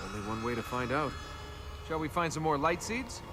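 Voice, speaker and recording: An actor playing a young, sarcastic adventurer prince, a flippant and reluctant hero in a video game. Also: male voice, a little high, animated, moderate pace, natural voice. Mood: wry. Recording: music behind the voice. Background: game music.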